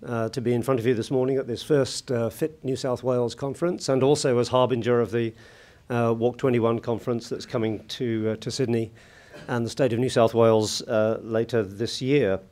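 A British man with a husky voice giving a formal conference talk from a lectern, measured and full of hesitant fillers. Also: medium pitch, fairly even intonation, darkish tone. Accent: British English. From United Kingdom. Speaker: conference keynote speaker. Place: large conference hall at a lectern. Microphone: lectern microphone.

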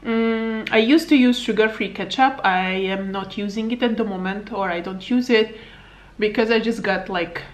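A woman's voice: medium pitch, moderate pace. A woman in her thirties from Slovakia, a native Hungarian speaker with a Hungarian accent, chatting casually to camera at home as a fitness coach, rambling with fillers and little laughs. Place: home living room, indoors. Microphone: camera on table at arm's length.

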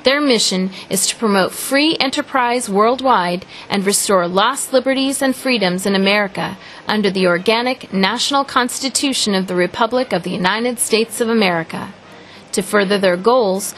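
A woman reading a scripted promotional introduction in a measured, formal way. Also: medium pitch, fairly bright tone, a little hiss or hum. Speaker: narrator. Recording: music bed underneath.

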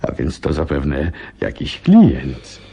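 An actor playing a genial gentleman companion and narrator of a detective story in a radio drama, expressive and husky. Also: a bass voice, slow, full character voice. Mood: curious. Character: Holmes's companion, genial male narrator and host.

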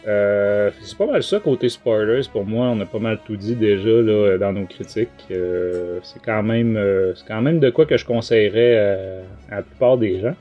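A man's voice: on the low side, normal speed, hardly any pauses, muffled recording. A man with a Quebec French accent hosting a casual review discussion, explaining things in colloquial language. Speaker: podcast host. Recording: music under the voice.

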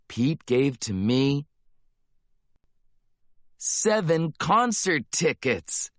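Slow, very animated male storybook narrator. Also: mid-range voice, dry recording, clean studio sound. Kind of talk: children's read-aloud of a rhyming Christmas picture book.

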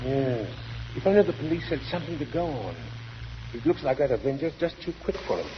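A man acting a mild, fond husband in a vintage radio play. His soothing, plodding talk moves widely in pitch, and the muffled old recording is clearly noisy. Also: animated, brisk, close to an everyday voice. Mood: wistful. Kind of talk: 1940s radio drama acting.

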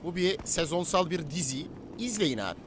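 A Turkish man with a fairly high voice speaks as a game streamer leading his crew in roleplay. He is brash, slangy and combative, with faint game and vehicle noise behind him. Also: big pitch swings, moderate pace. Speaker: streamer and gang-leader player in online roleplay game. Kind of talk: live gaming commentary and in-game roleplay chat.

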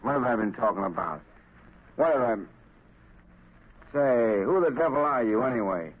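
An actor in a 1930s radio drama playing a suspicious, blustering small-time crook with defensive, tough street talk. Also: male voice, mid-range voice, animated, moderate pace, natural voice. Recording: thin, narrow-band 1930s radio recording.

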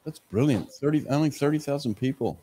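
A man's voice: medium pitch, fairly quick, warm tone, slight background noise. A man in his forties hosting a casual podcast over a video call, asking incredulous, playful questions. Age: 40s. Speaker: podcast host.

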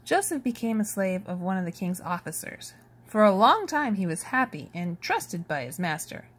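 A woman reads a children's storybook aloud. Her pitch moves in a lively, animated way as she tells the tale, and her voice has a slightly husky edge. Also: normal speed. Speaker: storybook reader. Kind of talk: expressive read-aloud of a children's picture book.

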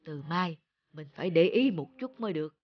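Gentle, lonely old village woman. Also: fairly low pitch, lively intonation, fairly quick, dry recording, dull audio. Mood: puzzled. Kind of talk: cartoon folk-tale voice acting.

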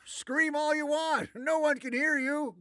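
An actor playing a smug, theatrical, easily exasperated older man from the future in an animated comedy. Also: very high voice, measured pace, no echo, clean studio sound, exaggerated voice. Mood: gloating. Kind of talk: animated comedy character acting.

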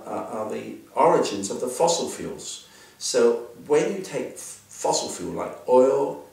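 Older male scientist, low gravelly voice, halting delivery, roomy echo, set back from the mic. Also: a little mellow, recorded from a distance. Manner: halting, many fillers and restarts. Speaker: scientist interviewee. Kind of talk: explaining to an interviewer.

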